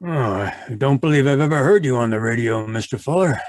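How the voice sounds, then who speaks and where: A man's voice: mid-range voice, animated, a little fast, no echo, natural voice. A man acting as a polite, probing newspaper reporter in an old-time radio mystery. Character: inquisitive, polite but shrewd male newspaper reporter. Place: remote video call performance. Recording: live video-call recording, dry.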